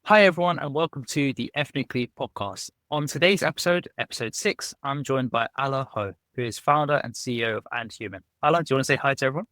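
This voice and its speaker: A man's voice: mid-range voice, fast talker, hardly any pauses, fairly bright tone, no room sound. A man hosting a podcast interview, upbeat and chatty, often saying "yeah, no". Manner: upbeat, many fillers like "yeah, no". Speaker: podcast host.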